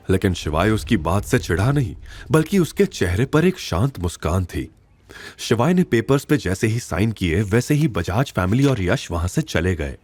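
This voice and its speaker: A man's voice: fairly low pitch, a little mellow, breathy edge. A man narrating a scripted audio drama in a dramatic storytelling style.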